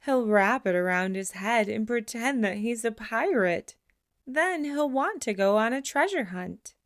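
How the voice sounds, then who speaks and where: A woman reading a children's picture book aloud in an expressive way, slowing down for the closing lines. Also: fairly high pitch, dry recording, clean studio sound. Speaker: storybook reader. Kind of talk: children's read-aloud narration.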